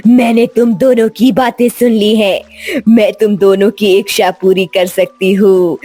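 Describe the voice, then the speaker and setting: A sly, bloodthirsty ghost woman from a cartoon, as a female narrator puts her on. She speaks in slow, drawn-out commands, with music playing behind her. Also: medium pitch, a little mellow. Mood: gloating. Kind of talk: cartoon storybook voice acting.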